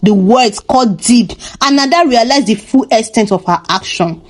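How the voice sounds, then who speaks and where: A woman's voice: a little high, lively intonation, normal speed. A woman reading a scripted folktale as a storyteller. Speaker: storyteller, narrator.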